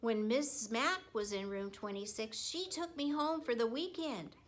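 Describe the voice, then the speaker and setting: A woman narrating a children's storybook like a schoolteacher, expressive and deliberate. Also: fairly high pitch. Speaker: teacher reading a story.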